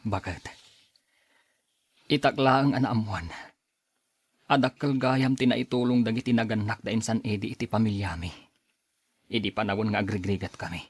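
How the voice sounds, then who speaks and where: Casting: proud younger brother, an engineer, low husky voice, dry radio drama sound. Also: male voice, normal speed, pauses often, no echo. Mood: subdued, softened. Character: engineer younger brother, reluctant then humbled helper of relatives. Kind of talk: radio drama acting in Ilocano.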